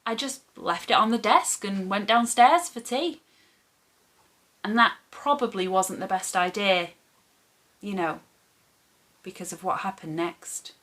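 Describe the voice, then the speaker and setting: Bright, unhurried woman reading a storybook. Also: mid-range voice. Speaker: storyteller, teacher reading aloud. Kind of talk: expressive children's storybook narration.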